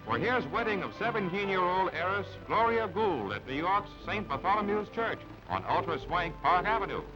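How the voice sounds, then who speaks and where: A man with a notably high voice announces newsreel items from a script. He sounds formal and declamatory. The old recording is dull and narrow, with music running underneath. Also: sing-song, normal speed. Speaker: newsreel narrator. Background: background music.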